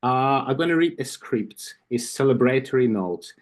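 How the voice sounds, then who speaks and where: A man with a Ukrainian accent reading an induction script on a video call, slowly and carefully, with asides and small self-corrections. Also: medium pitch, lively intonation. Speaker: club vice president of membership. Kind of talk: reading an induction ceremony script at an online club meeting.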